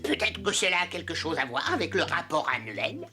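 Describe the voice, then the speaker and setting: A woman's voice: lowish voice, wildly swooping pitch, fairly quick, bright, forceful tone, slight background noise, heavily acted. A woman voicing a mischievous, suspicious young male monkey sidekick in a French cartoon, conspiratorial and theatrical.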